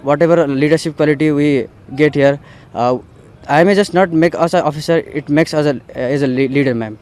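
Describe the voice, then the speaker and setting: A man's voice: on the high side, fairly quick, darkish tone, clear, resonant tone. A man in his twenties with a Nepali accent, a military cadet speaking formally in an interview. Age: 20s. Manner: formal, addresses interviewer as ma'am.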